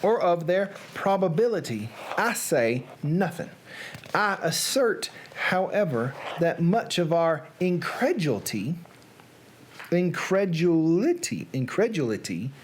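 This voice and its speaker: Someone putting on a southern drawl reading old gothic horror, real slow n dramatic, pitch all over, trips on big words. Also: sing-song, a little hiss or hum. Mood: solemn. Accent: American Southern. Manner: slow, drawn-out, halting on long words.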